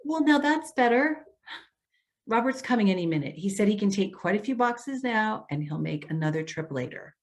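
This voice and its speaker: Casting: actress as female social worker, concerned and patient, earnest coaxing delivery, mid-pitched, stage drama. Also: lively intonation, moderate pace, natural voice. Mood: practical, nudging. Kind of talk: readers theater stage acting.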